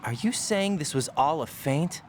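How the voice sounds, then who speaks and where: An actor playing a young rookie special forces soldier who is easily rattled, speaking anxiously and asking questions in a video game cutscene. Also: male voice, fairly high pitch, expressive, a little slow, slight background noise. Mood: alarmed.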